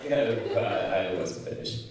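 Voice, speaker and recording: A man's voice: on the low side, unhurried, crowd noise, lightly acted. A man playing a naive, earnest young aspiring actor in a live old-time radio comedy. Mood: eager, crestfallen. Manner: earnest, excitable. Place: community center, live stage show. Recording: echoey hall, distant mic, faint noise.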